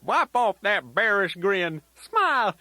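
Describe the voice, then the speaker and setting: Children's toy tape grandpa bear: elderly, folksy, playful rambler, slow delivery, very animated swooping intonation. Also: male voice, high voice, no room sound, exaggerated voice. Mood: gently teasing. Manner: folksy, rambling, sing-song rhyming asides.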